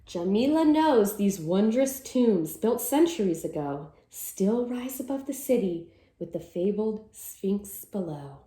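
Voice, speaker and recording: A woman's voice: mid-range voice, big pitch swings, takes it slowly, dark tone, roomy sound. A woman teacher reading a picture book aloud to children, with sing-song verses and simple explanations.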